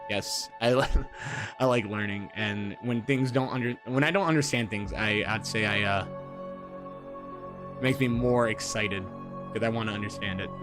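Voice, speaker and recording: A man's voice: on the low side, normal speed, long pauses. A man in his twenties reading aloud and chatting casually, rambling with fillers and repeated words, poking fun at himself. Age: 20s. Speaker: gaming streamer. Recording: music playing underneath. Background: music.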